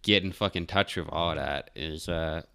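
A man's voice: a bass voice, normal speed, vocal fry, bright, forceful tone, clean tone, no echo, studio quality. A man in a casual podcast chat, talking in a slangy, informal way with plenty of swearing.

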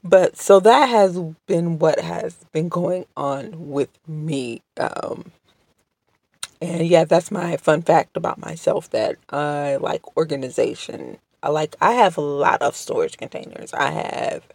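Woman podcast host, sick and kinda strained, slow with lots of ums, gravelly fry, dry close mic at home. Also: low-pitched, a little mellow, no echo. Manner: slow, hesitant, many ums and restarts; polite and apologetic. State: under the weather, voice strained. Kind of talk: casual solo podcast monologue. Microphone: home podcast microphone.

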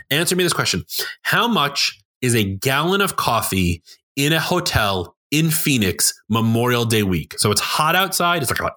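Man, from New York, podcast guest, bright voice, mid pitch, animated, quick, lots of "like" and "um". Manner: fast, animated storyteller with many fillers. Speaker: podcast guest, business owner. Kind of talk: casual podcast conversation.

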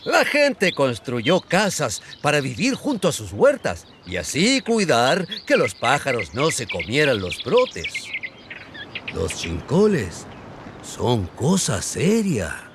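A man's voice: mid-range voice, huge pitch swings, slow, background noise. A man narrating scripted radio theatre in a theatrical storytelling style. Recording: music behind the voice.

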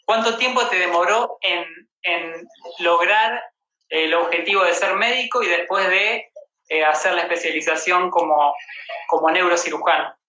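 A man's voice: high voice, moderate pace, bright, forceful tone. An Argentine man hosting a casual livestream interview. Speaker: host interviewing a guest. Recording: echoey room, dull livestream audio.

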